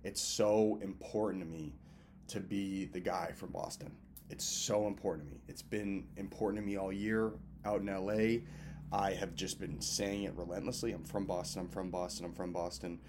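A young man in his twenties with a lowish voice speaks in a loose, rambling way. He swears freely and addresses viewers as if they were friends. He is from Massachusetts and records at a hotel, with a faint hum of background noise. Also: flat delivery, moderate pace. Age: 20s. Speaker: filmmaker and event host vlogging. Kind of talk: casual rambling birthday check-in.

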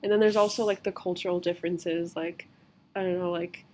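A woman's voice: lowish voice, steady tone, brisk, darkish tone, poor audio. A young woman in her twenties from the United States, hosting a casual podcast chat and filling her talk with "like". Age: 20s. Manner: says "like" constantly, loose and chatty. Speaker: podcast host.

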